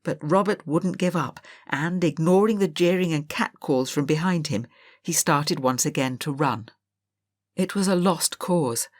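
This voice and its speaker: A woman reading an audiobook story aloud as narrator, in a measured, expressive storytelling manner. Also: low voice, no room sound, studio quality.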